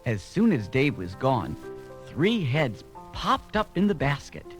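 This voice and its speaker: A man's voice: medium pitch, wildly swooping pitch, takes it slowly. A man narrating a children's read-along story from a script. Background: music.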